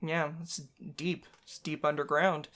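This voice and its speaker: A woman's voice: low-pitched, level tone, measured pace, fairly bright tone. A woman playing a visual novel, reading the narration aloud and chatting playfully as she goes. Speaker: game streamer, narrator and voice reader. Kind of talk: playful let's play with read-aloud narration.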